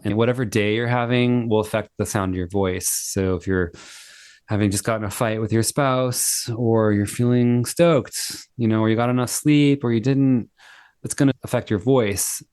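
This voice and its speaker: Upbeat low-voiced man on a video call. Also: moderate pace, darkish tone, clear, resonant tone, no echo, clean studio sound. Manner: upbeat, enthusiastic, affirming. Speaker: guest, singer-songwriter. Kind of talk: casual interview chat.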